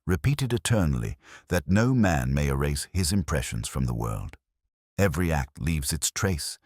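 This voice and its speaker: A man plays a brilliant gentleman detective for an audiobook mystery, in a very deep register. He is grave and formal, speaking in measured, oratorical phrases with expressive rises and falls. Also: no room sound, studio quality.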